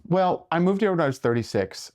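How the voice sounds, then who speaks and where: A man in his forties, a podcast guest, talking in an articulate, reflective casual chat with sudden lively rises. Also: a little high, a little fast. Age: 40s.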